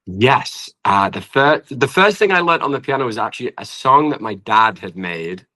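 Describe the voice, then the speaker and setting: A man's voice: mid-range voice, lively intonation, moderate pace, sharp tone. A young British man chatting casually as an interview guest, rambling informally and peppering his talk with "like". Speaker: guest singer-songwriter. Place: at home in an apartment.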